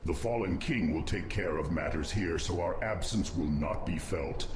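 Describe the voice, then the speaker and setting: Man playing a low, grave undead commander. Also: noisy background, close to an everyday voice. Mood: commanding. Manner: formal, deliberate, grave. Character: male undead nerubian commander, grave, loyal, brooding. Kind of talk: fantasy game cutscene acting.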